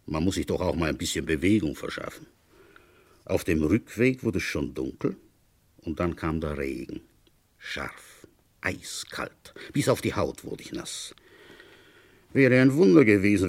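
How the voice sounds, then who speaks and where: A world-weary village police commissioner in a radio crime play. His voice is husky and swings widely in pitch as he grumbles along conversationally. He sounds gruff and tired of his sleepy post. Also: sing-song, unhurried, full character voice. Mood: musing storytelling. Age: middle-aged. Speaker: narrator and lead character. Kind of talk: German radio crime play, first-person storytelling.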